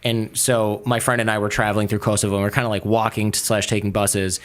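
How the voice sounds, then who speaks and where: A young adult man telling a travel story in a casual chat, animated and acting out the people he quotes. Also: fast talker. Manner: animated, acts out quoted voices with raised pitch.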